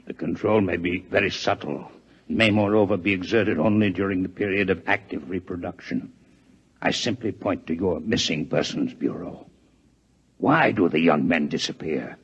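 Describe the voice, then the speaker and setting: An actor playing a grave, ailing alien doctor who explains coolly in 1950s radio drama style. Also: male voice, sits low, moderate pace, slightly rough, dull audio, exaggerated voice. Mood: grave, explanatory. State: weakened, ill. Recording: old 1950s radio recording.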